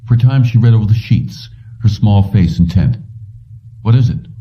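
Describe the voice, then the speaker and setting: A man's voice: on the low side, normal speed. A man narrating fiction from a script as an audiobook reader. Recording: slightly muffled, distant from microphone.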